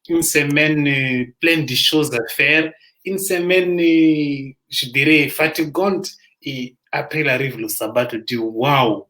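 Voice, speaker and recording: A co-host on a live stream speaks at a middle pitch. The talk is eager and preachy, full of exclamations and encouragement. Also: fairly bright tone. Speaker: co-host of a livestream. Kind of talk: casual live-stream chat.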